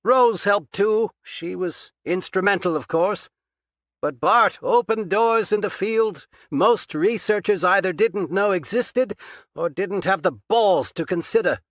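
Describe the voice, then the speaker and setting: A man's voice: high-pitched, unhurried, a bit bright, dry recording, clean studio sound, voice pushed up. A man voicing a pompous male villain who taunts with grand, raised, self-satisfied speech. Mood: gloating. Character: menacing, pompous male villain, gloating and cold.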